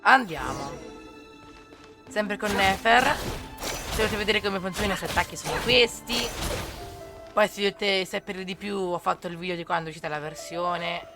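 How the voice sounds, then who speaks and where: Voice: mid-range voice, a little fast, pauses often. Chatty streamer with music behind. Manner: chatty, explanatory. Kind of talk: casual gameplay commentary.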